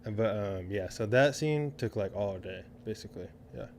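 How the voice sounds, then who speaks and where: Guy with a lowish voice on a podcast, super casual, repeats stuff, bit of noise behind him. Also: moderate pace, darkish tone. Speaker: podcast co-host, film crew member. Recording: clearly audible background noise.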